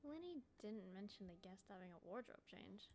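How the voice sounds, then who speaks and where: A woman's voice: mid-range voice, lively intonation, normal speed. A woman streamer giving informal gameplay commentary, thinking aloud and reading out bits of game text. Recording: loud game music behind voice. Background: game music.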